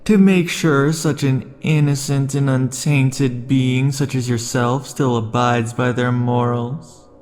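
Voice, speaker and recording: A man voicing an obsessive, possessive immortal lover in an ASMR roleplay, speaking softly and slowly with dark chuckles, shifting between tenderness and threats. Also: medium pitch, steady tone, soft background music, lightly acted. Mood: cold threatening.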